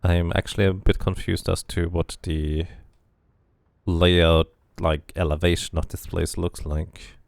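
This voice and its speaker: A man's voice: sits low, little pitch movement, measured pace, vocal fry, no echo. A man doing casual playthrough commentary, musing to himself and reading game text aloud. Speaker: video game streamer.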